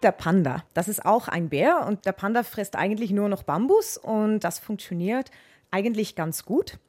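A woman's voice: mid-range voice, animated, normal speed, a little mellow, soft background music. A woman animal expert with a Dutch accent, explaining things to children in a plain-spoken, matter-of-fact way.

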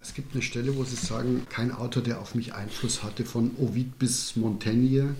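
A man's voice: mid-range voice, steady tone, moderate pace. A man putting questions as an interviewer, recorded in a room with some distance and clicks. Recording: roomy, distant, faint noise and clicks.

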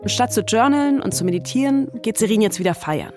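A woman's voice: on the high side, animated, quick pace, over music. A woman with a standard German accent delivering scripted narration as a podcast host.

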